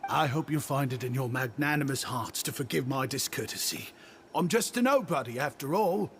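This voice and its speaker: An actor playing a humble, star-struck shopkeeper in a video game, speaking in a flowery, deferential way. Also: male voice, medium pitch, lively intonation, moderate pace, a bit bright, slight background noise. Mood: awed, apologetic. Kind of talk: video game character acting. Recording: game audio under music.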